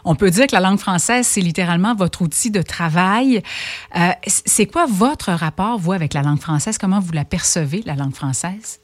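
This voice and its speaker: A woman's voice: fairly low pitch, normal speed, no room sound. A woman, hosting a radio interview in a conversational tone and asking open questions. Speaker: radio host.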